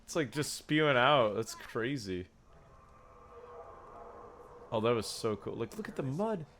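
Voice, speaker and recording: A young man with a raspy, sore-sounding voice, casually commenting on his gameplay, often breaking into excited yelps between low mumbles. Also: a little slow, slight background noise. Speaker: gaming YouTuber.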